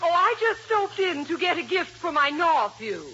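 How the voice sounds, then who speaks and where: Very high, flighty radio comedy lady. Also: very animated, normal speed. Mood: chirpy. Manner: comically distorted, affected pronunciation. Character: flighty, friendly lady with comically mangled vowels. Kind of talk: 1940s radio comedy acting. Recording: noisy, muffled old radio broadcast.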